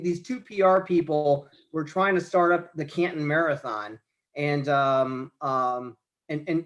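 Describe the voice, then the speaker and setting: A man in his late forties from the United States, a podcast guest talking about marathons, rambling and restarting his sentences often. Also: fairly high pitch. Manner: rambling, many restarts and stammers. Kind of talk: casual conversation.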